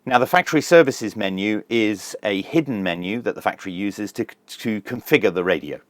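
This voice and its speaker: A man's voice: on the low side, expressive, a little fast, no echo. A man presenting a casual hands-on product review, plain-spoken and methodical, with dry humour.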